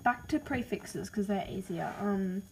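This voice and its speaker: A woman's voice: mid-range voice, a little slow, a little hiss or hum, poor audio. A woman with an Australian accent, casually reading out name ideas in a voice message, hesitant and apologetic with lots of ums. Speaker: podcaster listing name ideas.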